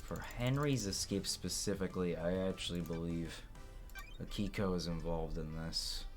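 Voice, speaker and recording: A raspy, hoarse young man casually commenting on a game playthrough, with lots of ums and halting restarts. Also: deep voice, faint music behind. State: hoarse from illness. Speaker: let's play host. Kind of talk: game playthrough commentary, reading game text aloud.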